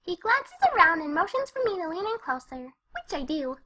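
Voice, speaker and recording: A young cartoon party pony with an extremely high, sparkling bright voice. She is bubbly and perky, telling her storybook tale with animated, bouncy expression. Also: normal speed, full character voice. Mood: animated storytelling. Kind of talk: first-person storybook narration with character dialogue.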